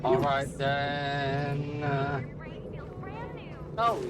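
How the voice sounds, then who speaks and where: A man's voice: a little high, completely flat delivery, very slow. A man streaming a game, giving casual, slangy muttered reactions to what happens on screen. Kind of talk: gameplay reaction commentary, casual chat. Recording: game music loud behind voice. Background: loud game music.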